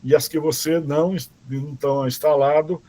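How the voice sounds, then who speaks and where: A man teaching a robotics class online, explaining code slowly and patiently, often checking in with "tá?" and "ok?" and correcting himself as he reads commands aloud. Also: fairly high pitch, steady tone, mellow. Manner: restarts and self-corrections while reading code aloud.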